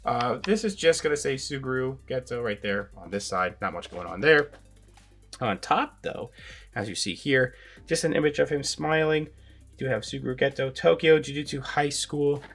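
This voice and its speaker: A man hosting a casual toy review, his voice raspy from a sinus infection, talking in a relaxed, rambling way with filler words. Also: mid-range voice, normal speed, soft background music. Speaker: toy reviewer, channel host.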